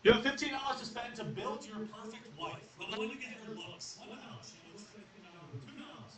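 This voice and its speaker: A man in a played clip, rattling through choices in a rapid, teasing way. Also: extremely high pitch, crowd noise. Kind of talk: quick clip of game-style picks. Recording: echoey, distant clip.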